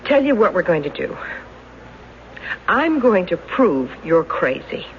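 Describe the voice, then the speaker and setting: Brisk, skeptical American wife, muffled radio drama. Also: female voice, on the low side, very animated. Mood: exasperated, fond. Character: loving, practical, skeptical wife of an accident survivor. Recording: muffled, faintly noisy 1970s radio recording.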